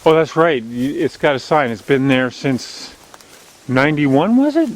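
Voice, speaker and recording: Loud-voiced man chatting on a woodland walk. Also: a little high, big pitch swings, measured pace, a little hiss or hum. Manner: strong, loud voice, chatty and rambling. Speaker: channel host. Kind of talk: casual walking vlog narration. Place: outdoors on an overgrown woodland logging road. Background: faint outdoor nature ambience.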